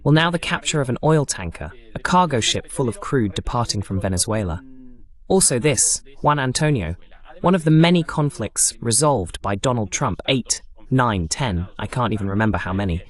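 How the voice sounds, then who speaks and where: A man hosting a political talk show, speaking in a formal, measured announcer style as he introduces and moderates. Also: mid-range voice, animated. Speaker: host. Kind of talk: scripted introduction and moderating questions, translated voice-over.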